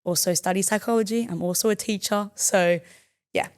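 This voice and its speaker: A woman's voice: mid-range voice, a little fast, smooth. Chatty young woman, podcast guest. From born in the United States. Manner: chatty and upbeat, laughs often. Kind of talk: casual interview chat. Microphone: studio podcast mic.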